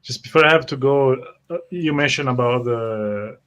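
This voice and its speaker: A man's voice: mid-range voice, moderate pace, a bit bright, lo-fi sound, slight background noise. A man talking casually as a player in a tabletop roleplaying game. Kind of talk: casual chat.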